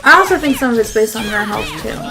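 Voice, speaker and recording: A woman's voice: fairly high pitch, expressive, quick pace, creaky. A woman co-hosting a game playthrough, chatting casually in a giggly, teasing way. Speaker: co-host, gamer. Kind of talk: casual let's-play commentary. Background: game music.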